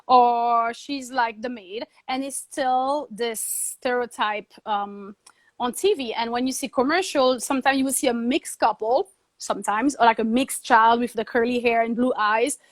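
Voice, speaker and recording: This woman talks in a somewhat high voice and tells her story with animation as an interview guest. She often slips into quoting her own past thoughts. Her sound is dry and close, with little room around it. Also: a little slow, no room sound. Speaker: guest, TV producer and journalist.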